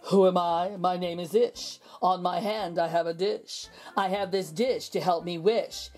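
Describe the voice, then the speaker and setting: A friendly, odd little wish-making creature from a children's storybook, read by a woman. It speaks in slow, measured rhyme with music underneath. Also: fairly low pitch, fairly even intonation, darkish tone, pitched below the performer's own voice. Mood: proud, friendly. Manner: measured, rhyming storybook delivery.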